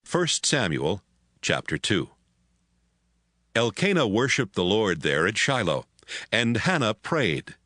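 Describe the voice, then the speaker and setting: A man narrating dramatized scripture from a script, telling it as a measured story. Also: fairly low pitch, very animated, pushed and bright, dry recording.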